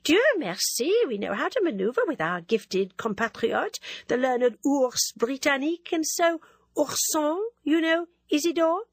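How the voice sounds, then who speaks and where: A woman's voice: sits high, expressive, a little slow, no echo, clean studio sound, voice pushed up. A woman voicing a vain, teasing young woman who gushes and drops French words into her talk.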